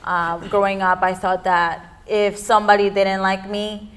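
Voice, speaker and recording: A woman's voice: medium pitch, little pitch movement, unhurried, a bit bright. A young woman from Peru, a youth immigrant activist, giving earnest interview answers with frequent ums. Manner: earnest, many fillers.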